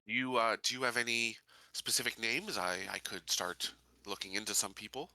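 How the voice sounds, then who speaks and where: Guy roleplaying careful sci-fi investigator man, very bright sharp voice, thinks out loud, formal, dry close sound. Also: lowish voice, normal speed, no echo, poor audio. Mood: thoughtful, probing. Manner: hesitant, thoughtful, formal phrasing. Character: earnest, measured male investigator in a space colony. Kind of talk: in-character tabletop roleplay dialogue.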